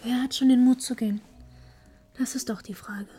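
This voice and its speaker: A softly probing, wistful woman in a literary radio drama. Her voice is a little high and breathy, often fading to a whisper over faint music. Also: fairly even intonation, moderate pace. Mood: wistful, resigned.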